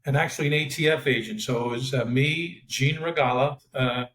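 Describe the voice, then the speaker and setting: A man co-hosting a podcast chats in a loose, rambling way, full of fillers. His pitch is mid-range. His voice carries a noticeable roomy echo. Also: brisk, fairly bright tone. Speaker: podcast co-host, former profiler. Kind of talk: casual podcast chat.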